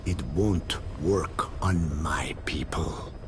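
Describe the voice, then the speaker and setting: A man's voice: extremely low pitch, very slow, noisy background, full character voice. A man playing a grave, defiant old captive in a video game drama, speaking solemnly and with anguish.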